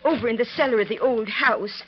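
A woman's voice: fairly high pitch, fairly quick, natural voice. An actress playing an anxious, proper ranch woman who exclaims breathlessly in an old-time radio western. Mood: frightened, fluttery. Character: frightened, fluttery ranch widow, proper and anxious. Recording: dull, faintly noisy vintage radio recording.

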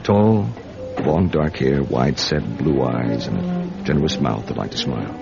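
A very low-voiced intelligence agent in a radio spy drama, dry and world-weary, with big swings in pitch. He is wry and probing, on a dull recording over music. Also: animated, normal speed, gravelly, heavily acted. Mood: wry, brooding. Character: wry world-weary male American intelligence agent narrating his case. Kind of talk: radio drama acting, first-person spy narration.

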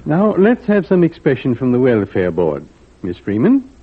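An actor playing a kindly, avuncular judge in an old-time radio drama, genial and deliberate, with a husky voice. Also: male voice, medium pitch, huge pitch swings. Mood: measured, grave. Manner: genial, measured, hemming. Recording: tinny, muffled vintage radio recording.

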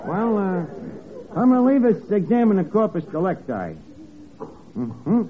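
Eager, high-pitched detective's sidekick, tinny old radio. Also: male voice, very animated, unhurried, some chatter behind. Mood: earnest questioning. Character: eager, dim male detective's sidekick, narrating the case. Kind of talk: 1950s radio comedy detective spoof acting. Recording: tinny, band-limited old radio recording with hiss.

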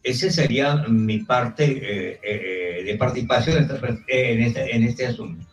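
A man speaking formally and haltingly as he puts remarks and questions to an author at a book presentation. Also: medium pitch, dull audio. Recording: roomy, distant mic, faint music.

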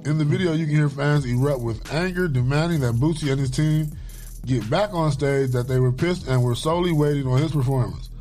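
A man's voice: fairly high pitch, brisk. Guy reading news on a podcast, a bit off mic, faint music under it. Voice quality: says he has a soft voice. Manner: reads stories aloud with his own asides. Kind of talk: casual podcast chat, reading news stories. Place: small studio with table mics. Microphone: sits off the mic.

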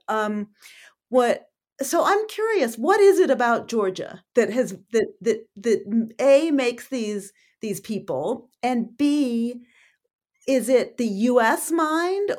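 A woman interviewing an author, asking long questions with many fillers, pauses and false starts. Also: a little high, darkish tone.

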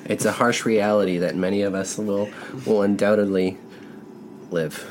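A man's voice: lowish voice, flat delivery, moderate pace, slight background noise, low-quality recording. A man co-hosting a comedy podcast, joking in casual banter and laughing often. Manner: laughs often, self-mocking. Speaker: podcast co-host.

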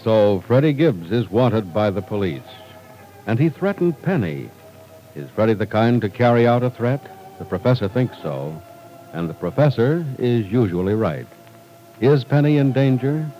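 A man's voice: big pitch swings, normal speed, mellow, faint music behind, poor audio. A man working as announcer in a 1940s radio show, delivering dramatic teasers in the old-time style. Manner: dramatic teaser, then hearty sales pitch.

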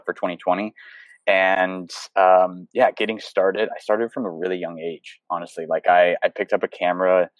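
A man's voice: low voice, no room sound, highs cut off. A young man, a photographer and coach, talking casually as an interview guest over a video call, rambling with many fillers and restarts. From United States.

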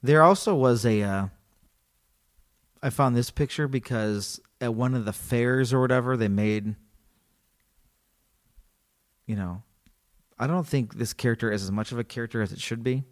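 A man in casual podcast chat, reading a story aloud to his co-host and cracking jokes as he goes. His voice sits in an ordinary middle range for a man. He talks in an animated way and laughs easily. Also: medium pitch, moderate pace. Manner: animated, joking, laughs often.

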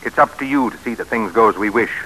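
Forceful, scheming American politician. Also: male voice, lowish voice, quick pace, rough recording. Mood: conspiratorial, coaxing. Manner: insistent, persuasive. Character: pushy, scheming male American politician, urgent persuader. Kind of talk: old-time American radio drama acting. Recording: hissy vintage radio broadcast recording.

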